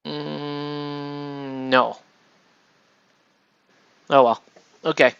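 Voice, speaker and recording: A man chatting casually on a livestream while he paints miniatures, rambling and excitable, often breaking off mid-sentence. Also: fairly high pitch, very slow, vocal fry, clean tone, dull audio. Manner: rambling, excitable, self-interrupting with laughs.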